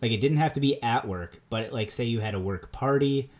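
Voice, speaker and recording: A man with a Minnesota accent, co-hosting a podcast in a relaxed chat, laughing often and repeating words as he starts sentences. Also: medium pitch, fairly quick. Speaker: podcast co-host. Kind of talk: casual chat.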